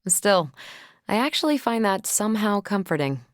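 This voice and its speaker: A woman's voice: medium pitch, sing-song, normal speed, no echo, clean studio sound, lightly acted. A woman voicing a confident, wry young superhero soldier with an American accent, in casual, self-assured video game banter. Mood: dry, amused.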